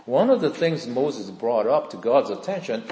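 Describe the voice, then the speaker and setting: Pastor in his 50s, lively up and down preaching voice, big echoey church hall. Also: mid-range voice, moderate pace. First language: Korean. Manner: earnest, conversational, asks the congregation questions. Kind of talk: sermon with personal testimony.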